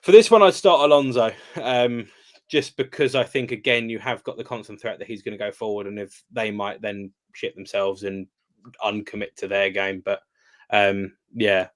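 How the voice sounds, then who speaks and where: A man's voice: on the low side, fairly even intonation, normal speed. A man on a casual football podcast, hesitant and rambling with many ums. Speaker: guest panelist.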